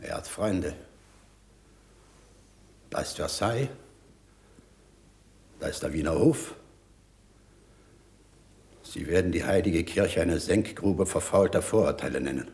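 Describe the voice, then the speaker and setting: An actor playing a troubled, firm pope in a radio drama, reasoning in an emphatic, deliberate way. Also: male voice, deep voice, expressive, vocal fry, darkish tone, exaggerated voice.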